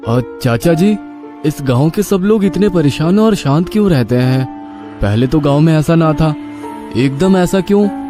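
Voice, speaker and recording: Man acting a higher-pitched, earnest young villager. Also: lively intonation, unhurried. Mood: curious, skeptical. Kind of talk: horror storybook dialogue. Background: background music.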